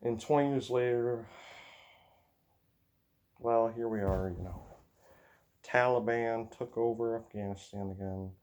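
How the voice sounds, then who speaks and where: A man's voice: mid-range voice, a little slow, long pauses, darkish tone. Rambling Upper Midwest teen guy. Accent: Upper Midwest American. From United States. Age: teens. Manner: hesitant, many fillers and sighs. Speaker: racing vlogger. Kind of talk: rambling casual monologue.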